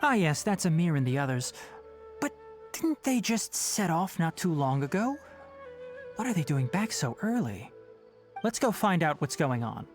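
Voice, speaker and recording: A young forest ranger for a video game, serious and responsible. His intonation moves widely, yet the delivery stays measured and instructive, with music underneath. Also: on the high side, very animated. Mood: concerned, decisive. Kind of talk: English video game voice acting.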